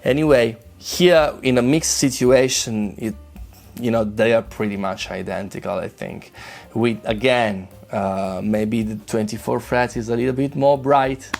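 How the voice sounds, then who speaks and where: Italian-accented guy, gravelly and a bit hoarse, easygoing gear talk with stops and restarts, faint music behind. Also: mid-range voice, fairly even intonation, unhurried, a little mellow. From Italy. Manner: hesitant, many restarts, friendly. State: voice rough from a flu. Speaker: guitar gear reviewer. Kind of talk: casual demo chat to camera.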